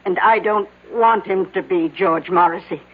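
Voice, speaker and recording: A woman playing an uneasy, deferential secretary in a radio drama, speaking formally and haltingly. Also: lowish voice, slightly rough. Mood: anxious. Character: flustered, deferential female secretary, uneasy and hesitant. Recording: muffled, tinny old radio broadcast.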